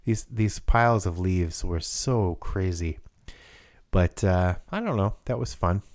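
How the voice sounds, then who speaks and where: A man with a raspy voice in casual conversation, reminiscing in a halting way with frequent restarts. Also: sits low, animated, a little mellow, no echo, studio quality. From South Dakota, United States. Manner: halting, many restarts and fillers. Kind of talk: casual chat.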